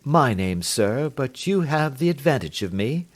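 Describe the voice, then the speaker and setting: Unbothered wry detective, bland and quiet, lively pitch, guy doing the voice. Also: measured pace. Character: calm, amused, clever male detective.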